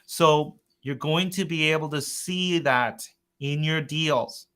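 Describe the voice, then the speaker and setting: A man hosting an informal software update webinar, talking in an earnest, conversational and loosely rambling way. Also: fairly high pitch, slow, noisy background. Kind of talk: informal product presentation.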